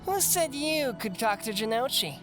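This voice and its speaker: A woman's voice: a little high, big pitch swings, moderate pace, over loud music. A woman playing a spiteful, sneering teenage gang punk who taunts and needles in an audio drama.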